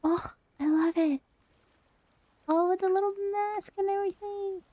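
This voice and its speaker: A woman's voice: very high-pitched, steady tone, measured pace, mellow. A woman streamer giving casual, gushing and affectionate commentary over a game. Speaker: streamer reading along with a game.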